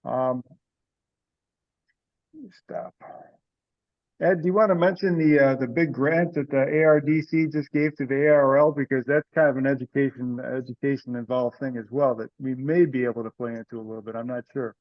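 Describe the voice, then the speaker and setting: A muffled, lo-fi conference call recording of an American man at a middle pitch. He moderates casually, pausing and hesitating as he thinks out loud. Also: steady tone. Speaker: meeting moderator. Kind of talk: casual meeting talk. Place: online video or teleconference. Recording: dull, lo-fi conference call audio.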